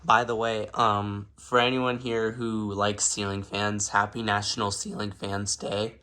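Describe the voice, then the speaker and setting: A man's voice: on the low side, level tone, a little slow, bright, forceful tone. A man who has been sick and sounds a bit off, talking casually and hesitantly at home, full of ums and unsure asides. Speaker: ceiling fan video maker. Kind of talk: casual filmed show-and-tell. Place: home room indoors.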